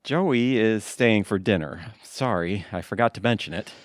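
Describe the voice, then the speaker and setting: A man's voice: fairly low pitch, normal speed, no echo, studio quality, lightly acted. A man acting as a sheepish, disappointed husband in an audio theatre comedy. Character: whiny, disappointed husband living through his buddy.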